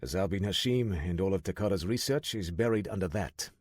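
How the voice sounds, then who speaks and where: A man doing a grave, low-voiced commander. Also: fairly quick, dry recording, studio quality. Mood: solemn.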